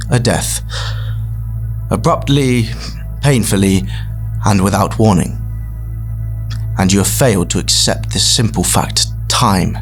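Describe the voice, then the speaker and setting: Casting: actor as solemn visionary program leader, low and very measured, grandiose pauses, faint music beneath. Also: male voice, gravelly, exaggerated voice. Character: solemn, persuasive male leader of a mysterious afterlife program. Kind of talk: audio drama speech.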